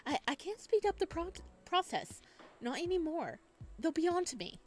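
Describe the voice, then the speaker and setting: A woman's voice: very high voice, very animated, moderate pace, voice pushed up. Woman voicing a stammering nervous young nobleman. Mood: nervous pleading. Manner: stammering, timid.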